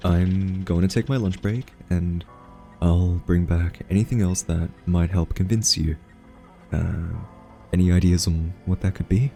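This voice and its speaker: A man playing an earnest, awkward scientist in a soft-spoken ASMR audio roleplay, talking haltingly with nervous ums. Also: low-pitched, steady tone, vocal fry, faint music behind. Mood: pleading, resolute. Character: earnest, awkward male scientist pleading with a captive AI.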